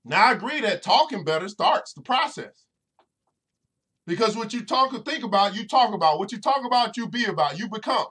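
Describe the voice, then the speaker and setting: A man's voice: high-pitched, lively intonation, a little fast, pushed and bright, breathy edge. A man hosting a motivational podcast, preaching to his listeners directly and repeating phrases over and over for emphasis. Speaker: financial educator and podcast host.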